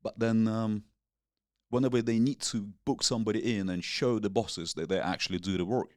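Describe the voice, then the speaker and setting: A man, Russian-born, talking in a low, deep voice. His speech is loose and peppered with slang and swearing. The sound is close and dry. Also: steady tone, brisk, darkish tone, no echo. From Russia, raised in England. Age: 40s. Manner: laid-back, swears freely, British slang. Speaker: guest. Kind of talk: casual interview chat.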